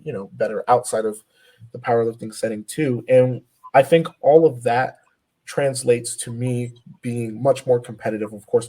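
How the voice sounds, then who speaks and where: A man's voice: medium pitch, dark tone, poor audio. A man in his twenties, a powerlifter guest on a podcast, talking earnestly with many fillers and restarts. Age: 20s. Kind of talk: casual podcast interview.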